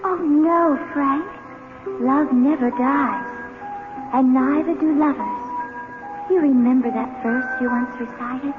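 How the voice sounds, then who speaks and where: A plaintive ghost of a young woman, coaxes in a high, tender, insistent voice. It is old radio drama, thin as a telephone line, with loud music swelling behind her. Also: slow pace. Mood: lovelorn, insistent. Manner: plaintive, coaxing. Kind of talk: 1970s radio drama acting.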